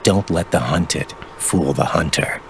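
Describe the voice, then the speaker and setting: Deep-voiced, stern scouting mentor. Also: male voice, vocal fry, slight background noise, heavily acted. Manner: deliberate, instructive, clipped phrases. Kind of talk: video game voice acting, tutorial briefing.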